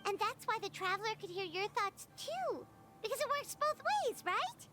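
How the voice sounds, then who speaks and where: A woman voicing a small, childlike, chatty fairy companion in a video game, with a squeaky voice. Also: animated, normal speed. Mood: curious, chatty. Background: game music.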